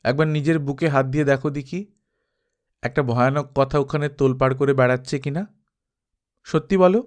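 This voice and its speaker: A man's voice: medium pitch, a little fast, lightly acted. A man voicing a playful, teasing young woman, a secretive sister-in-law, in a dramatised Bengali novel reading. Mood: challenging, teasing. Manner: teasing, mock-scolding.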